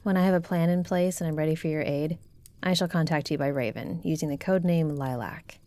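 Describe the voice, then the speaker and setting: A woman's voice: low voice, fairly even intonation, a little fast, warm tone, no echo, natural voice. A woman playing a grave, dignified rebel noblewoman in a fantasy audio roleplay, speaking formally and solemnly. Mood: solemn resolve.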